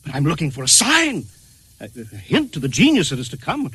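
A man's voice: a little high, huge pitch swings, fairly quick, pushed and bright, husky, dry recording, heavily acted. An actor playing a pompous, flustered art critic, prissy and stammering, repeating his words in old-time radio comedy style. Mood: distressed, pleading. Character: fussy, flustered, pompous art critic, polite but appalled.

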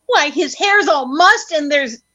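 An anxious, protective young wife in vintage radio comedy. Her voice is extremely high, piercingly bright and very animated. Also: a little slow, no room sound, muffled recording, exaggerated voice. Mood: protective, indignant. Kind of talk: 1940s radio comedy acting.

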